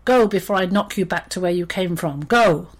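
A woman's voice: mid-range voice, big pitch swings, moderate pace, slightly rough. A woman voicing a mighty male lion king in a storybook, imperious and blustering, full of sarcasm and menace. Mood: enraged.

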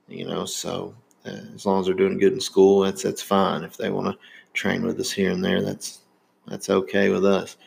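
A man's voice: on the low side, creaky. A man in his thirties from Indiana with a Southern accent, hosting a podcast in casual, rambling chat. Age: 30s. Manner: rambling, many fillers and restarts. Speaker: podcast host.

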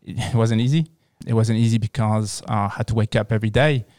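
A man from France with a French accent, answering casually as an interview guest in a halting, repetitive way. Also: lowish voice, steady tone, darkish tone, dry recording, studio quality. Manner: halting, repeats words and phrases.

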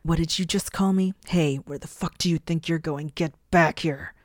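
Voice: medium pitch, steady tone, normal speed, no echo, clean studio sound, close to an everyday voice. A narrator voicing a furious, foul-mouthed female fairy warrior in an audiobook, hissing and roaring. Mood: outraged shouting.

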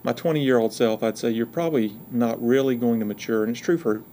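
A man's voice: medium pitch, brisk, dark tone, slight background noise. A middle-aged man with a Georgia Southern accent, a university provost chatting as a podcast guest in a folksy, joking, frank way. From Georgia, USA. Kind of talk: casual interview chat.

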